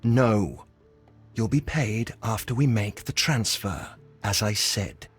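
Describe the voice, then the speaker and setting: An actor playing a wary criminal conspirator in a game, clipped and businesslike, with a husky voice. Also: male voice, lowish voice, takes it slowly, soft background music. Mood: curt, wary. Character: curt, wary male criminal conspirator giving orders. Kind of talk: scripted game dialogue.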